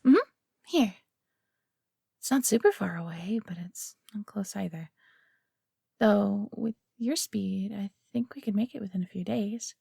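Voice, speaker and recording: A woman playing a friendly, eager young female fox companion in an audio roleplay, chatty, upbeat and expressive. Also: medium pitch, measured pace, creaky, long pauses, breathy edge, no echo, clean studio sound, heavily acted. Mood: thoughtful. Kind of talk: audio roleplay acting addressed to the listener.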